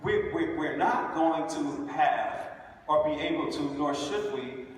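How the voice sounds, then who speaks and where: A man's voice: on the high side, unhurried, slight background noise. A man on a conference panel who speaks earnestly and keeps asking "right?" to drive his points home. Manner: earnest, rhetorical, repeats "right?". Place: large conference hall. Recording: echoey hall, mic heard from far off.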